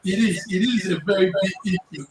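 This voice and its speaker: A man with a high voice hosts a panel, speaking hesitantly and often repeating words. The room rings around him, with faint background noise. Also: a little fast. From Nigeria. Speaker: panel host. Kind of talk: panel discussion. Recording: roomy studio with faint noise.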